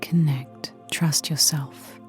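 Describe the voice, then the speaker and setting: Slow, whispery low-voiced woman guiding meditation. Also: fairly even intonation. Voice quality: soft, breathy, whispery. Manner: gentle, soothing, unhurried with long pauses. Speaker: meditation guide. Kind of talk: guided meditation, soft breathy near-whisper. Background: soft background music.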